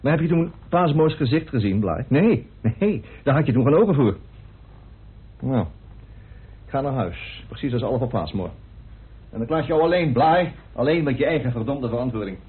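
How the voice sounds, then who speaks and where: A grim, bitter man in a radio play. His voice is very rough and husky, his delivery emphatic and rhetorical. The old recording is narrow and muffled. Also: medium pitch, animated, normal speed. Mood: bitter, accusing. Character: grim, indignant man bitterly revealing a hidden truth.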